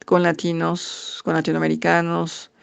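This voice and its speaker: A Mexican woman, an English teacher, answering interview questions in a thoughtful, halting way with many fillers. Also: lowish voice, monotone, gravelly, clear, resonant tone. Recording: dull lo-fi recording.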